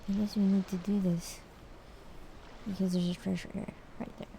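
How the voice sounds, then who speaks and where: A woman streaming a game, chatting casually in a low-key, hesitant way. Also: little pitch movement, normal speed, vocal fry, warm tone, slight background noise. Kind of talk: casual livestream chat.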